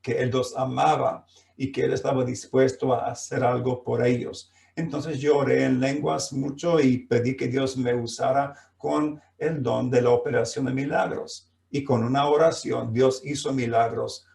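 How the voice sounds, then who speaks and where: Slow, gravelly man preaching in an echoey room. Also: medium pitch, steady tone, a little mellow. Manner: slow preaching with frequent fillers and restarts. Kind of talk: sermon. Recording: echoey room, mic at a distance.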